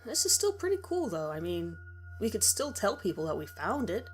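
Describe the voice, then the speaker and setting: A woman's voice: medium pitch, sing-song, normal speed, faint music behind, close to an everyday voice. A woman voicing a self-deprecating young man, the protagonist of a horror story. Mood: reassuring.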